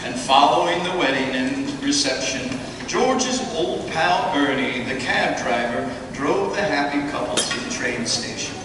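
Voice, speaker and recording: A man's voice: mid-range voice, unhurried, lightly acted. A man narrating a staged radio play in plain storytelling manner. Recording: echoey hall, far from mic, noisy.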